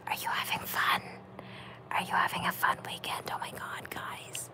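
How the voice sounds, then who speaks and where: A woman hosting an ASMR livestream, softly whispering as she reads out chat comments. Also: normal speed. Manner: soft whisper, affectionate. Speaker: livestream host.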